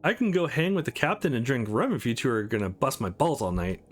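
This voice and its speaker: A man's voice: mid-range voice, lively intonation, fast talker, breathy edge, faint music behind. Man reading as a blunt, wisecracking young guy. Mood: dry, teasing.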